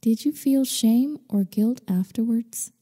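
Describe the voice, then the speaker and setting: A woman guiding a meditation in slow scripted narration, with a soothing, unhurried, gently instructing manner. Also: fairly high pitch, breathy edge. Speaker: meditation guide. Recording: long reverberant hall-like echo.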